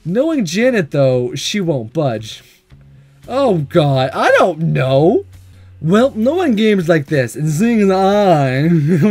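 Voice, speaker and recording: Animated, higher-voiced man playing a game. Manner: playful, excitable, self-deprecating. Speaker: gaming video host. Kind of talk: visual novel let's-play reading and commentary. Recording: music under the voice.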